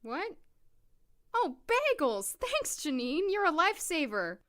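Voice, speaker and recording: A woman's voice: very high-pitched, huge pitch swings, slow, fairly bright tone, exaggerated voice. A young woman playing a chatty, upbeat doctor new in town in an audio drama, with a jokey, nervous rambling manner. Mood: cheerful.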